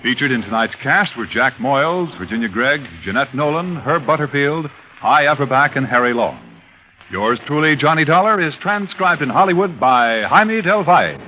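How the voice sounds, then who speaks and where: A man announcing the credits of a 1950s radio show in a formal, measured tone. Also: medium pitch, animated, slight background noise. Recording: muffled old radio recording.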